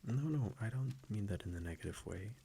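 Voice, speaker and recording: A man playing a gentle, affectionate vampire boyfriend in an intimate ASMR audio roleplay, speaking softly and often dropping into a whisper. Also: on the low side, animated, brisk, a little hiss or hum. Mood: protective, soothing. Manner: soft-spoken, breathy, tender, often dropping to a whisper. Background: soft fireplace ambience.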